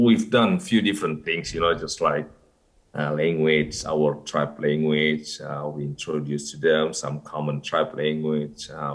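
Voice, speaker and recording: A man from North Sumatra, a podcast guest, who answers slowly with many pauses and fillers. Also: extremely low pitch. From North Sumatra, Indonesia. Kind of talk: casual interview answers.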